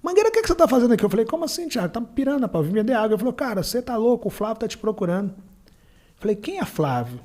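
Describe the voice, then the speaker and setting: A man's voice: very high voice, big pitch swings, very fast, dark tone. A Brazilian man telling a personal story in colloquial, emphatic conversation, acting out the dialogue as he goes. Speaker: podcast guest, entrepreneur and former beach water vendor.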